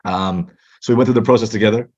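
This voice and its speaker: A young man with a very deep, husky voice talks quickly through his story as a podcast guest. The audio is dull and muffled. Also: darkish tone. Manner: fast, chatty storytelling. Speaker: guest, startup co-founder. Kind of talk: casual interview chat.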